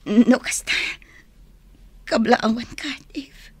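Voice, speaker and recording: Wistful, lovelorn young woman, radio drama: raised pitch, soft husky breathiness. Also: slow, no echo. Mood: sad, regretful. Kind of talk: Ilocano radio drama acting.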